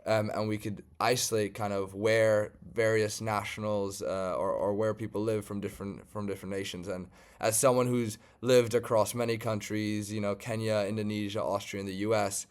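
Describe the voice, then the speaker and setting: A man from Germany, a data scientist, walking through an online data set in a relaxed, conversational tutorial with frequent fillers. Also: lowish voice, moderate pace, clear, resonant tone. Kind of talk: screen-share tutorial walkthrough.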